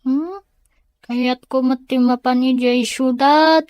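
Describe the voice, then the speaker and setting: Innocent little kid for radio drama, voiced by a woman; high pitched, slow, pleading. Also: steady tone, a little mellow, dry recording, clean studio sound. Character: innocent, pleading small child. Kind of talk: Ilocano audio drama acting.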